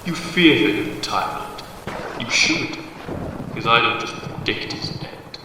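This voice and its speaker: An actor playing an ancient, menacing devil bound to a bridge, making pompous, theatrical declarations in an audio drama through heavy reverb. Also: male voice, medium pitch, lively intonation, takes it slowly. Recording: distant, cavernous echo, noisy ambience.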